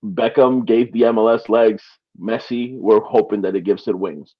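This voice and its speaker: A man from Peru talking soccer in a casual podcast chat, halting and restarting often, with small laughs between points. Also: fairly low pitch, fairly even intonation, breathy edge. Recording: slightly dull lo-fi audio.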